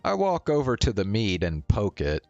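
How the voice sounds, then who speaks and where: A streamer narrating a visual novel aloud in a measured, storybook-like reading. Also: deep voice, huge pitch swings, darkish tone, muffled recording. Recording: roomy sound, faint music behind. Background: faint game music.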